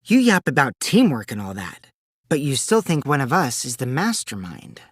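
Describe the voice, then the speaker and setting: A man's voice: mid-range voice, sing-song, normal speed, husky, dry recording, studio quality. Theatrical mischievous teen boy, wildly swooping voice. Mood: grave, measured. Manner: theatrical, swinging from bratty teasing to sinister taunting. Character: mischievous, lying, childish teenage boy, self-styled evil supreme leader. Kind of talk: English video game voice acting.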